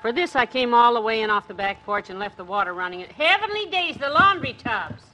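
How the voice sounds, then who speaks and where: An actress playing a sensible, exasperated wife in an old-time radio comedy. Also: female voice, a little high, lively intonation, a little fast, bright, forceful tone, soft background music, rough recording. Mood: exasperated, scolding.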